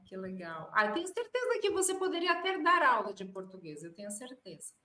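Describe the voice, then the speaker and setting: A woman's voice: a little high, sing-song, brisk, pushed and bright, echoey room. A Brazilian woman, a Portuguese teacher hosting an interview, encouraging and full of short affirmations. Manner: encouraging, many short backchannels. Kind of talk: casual interview chat.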